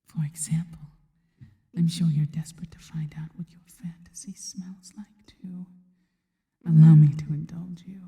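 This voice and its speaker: A woman voicing a seductive, possessive yet devoted female spider monster in an ASMR roleplay, mostly in a slow, breathy, teasing whisper. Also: low-pitched, fairly even intonation, dark tone. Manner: slow, teasing, intimate delivery. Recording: long reverberant tail on the voice.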